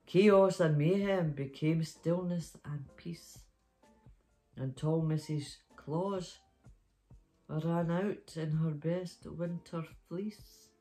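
A woman's voice: sits low, very slow, warm tone, soft background music, rough recording. A middle-aged woman, hosting story time and reading a children's picture book aloud in a bedroom. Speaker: storytime host. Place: small bedroom, sitting up in bed.

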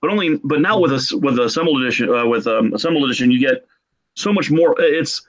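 A middle-aged man telling casual stories as a podcast guest, in an excitable, jokey manner. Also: mid-range voice, fast talker. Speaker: podcast guest, game artist. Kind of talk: casual animated storytelling. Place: small room at home, video call. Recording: muffled lo-fi video call.

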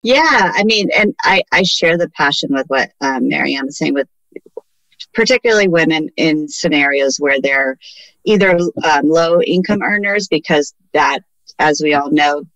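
A woman in her forties whose first language is Portuguese, a lawyer chatting lively on a video call. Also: deep voice, normal speed, dry recording, low-quality recording. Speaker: employment lawyer guest. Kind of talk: casual video-call interview. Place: home room with window. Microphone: video call webcam.

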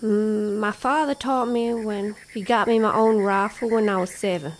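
An actress playing a confident rancher and former sharpshooter in a radio drama. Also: female voice, mid-range voice, fairly even intonation, moderate pace, natural voice. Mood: breezy. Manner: breezy, confident.

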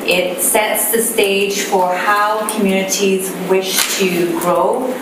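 Woman presenter, soft voice, measured delivery; distant mic in an echoey room with noise. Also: mid-range voice, fairly even intonation. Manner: measured, explanatory, with frequent fillers. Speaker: city planner presenting. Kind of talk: public meeting presentation. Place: council meeting room.

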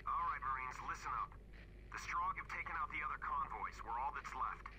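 An actor playing a military commander giving marines a mission briefing over the radio. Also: male voice, fairly high pitch, brisk, background noise, natural voice. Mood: commanding. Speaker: squad commander.

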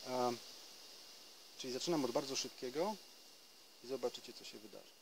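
A man in his thirties explains a breathing exercise for trumpet players in an unhurried, searching way, often stopping to rephrase. His voice sits in an ordinary middle range. Faint insects and open-air ambience surround him, picked up by a camera a few steps away. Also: medium pitch, gravelly, noisy background. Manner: explanatory, with hesitations and restarts. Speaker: trumpet teacher. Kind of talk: casual spoken lesson. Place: outdoors in a forest.

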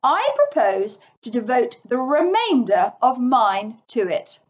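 A woman's voice: on the high side, big pitch swings, slow, lo-fi sound. A woman voicing a boastful, impulsive male toad in a children's story, gushing and exclaiming grandly.

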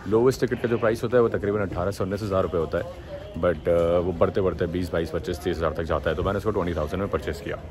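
A young man from Pakistan, giving a relaxed travel review to a phone held at arm's length and mixing English phrases into his talk. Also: deep voice, very fast. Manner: relaxed, talks to camera, mixes English phrases in. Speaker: travel vlogger. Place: airport terminal and plane cabin, indoors. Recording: noisy airport and cabin background.

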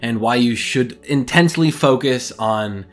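A young man talking casually to his webcam in a small bedroom and explaining language learning ideas in an animated way. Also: mid-range voice, a little slow, faint music behind. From English-speaking. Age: young adult. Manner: animated, gestures a lot while explaining. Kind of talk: casual talking-head vlog.

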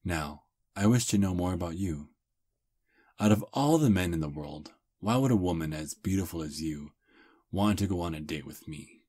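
Man acting a deep, husky, seductive vampire prince. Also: expressive. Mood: courteous, charming. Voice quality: husky, breathy. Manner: slow, courtly, softly seductive. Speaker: ASMR roleplay performer. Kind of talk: intimate ASMR roleplay acting.

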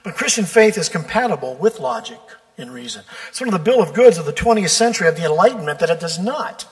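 A man preaches from the pulpit in a slightly high voice. He is measured and deliberate, drawing out key words for emphasis. Long reverb from the church sanctuary trails behind his voice. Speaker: pastor. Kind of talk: sermon.

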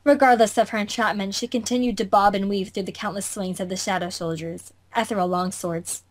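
A woman narrates a fantasy visual novel at a middle pitch. She reads it like a dramatic story, in an amateur fandub style, sometimes tripping over words. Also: steady tone, brisk.

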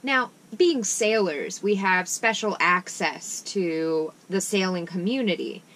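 A woman's voice: on the low side, slow, a bit bright, low-quality recording. A woman in her thirties, a sailing vlogger, chatting in a mock-serious way and finishing her partner's sentences, from a small wooden boat cabin. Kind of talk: deadpan satirical chat. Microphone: camera in front at close range.

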